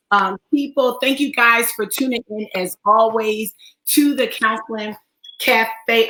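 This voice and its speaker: A woman with a raspy voice hosts a livestream talk show. She greets viewers by name and laughs often. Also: a little high, pushed and bright, roomy sound, slight background noise, rough recording. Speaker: online talk show host. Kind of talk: welcoming livestream chat.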